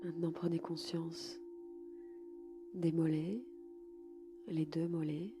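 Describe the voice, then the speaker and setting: A woman guiding a relaxation session slowly and calmly, in a soft, breathy voice that often nears a whisper. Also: sits low, music in the background. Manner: calm, soothing, unhurried. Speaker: podcast host and yoga nidra guide. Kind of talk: slow, soft guided relaxation.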